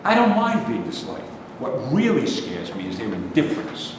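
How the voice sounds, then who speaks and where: A man's voice: mid-range voice, wildly swooping pitch, moderate pace. A man acting a bitter, aggrieved middle-aged character in a staged play reading, stammering and argumentative. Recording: echoey hall, distant mic, faint noise.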